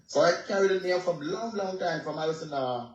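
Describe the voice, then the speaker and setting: A man's voice: high voice, a little fast, lo-fi sound. A man with a Bahamian accent, a reggae artist, talking in a laid-back way and saying "you know" all the time. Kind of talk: casual interview over a call. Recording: echoey, distant from the mic.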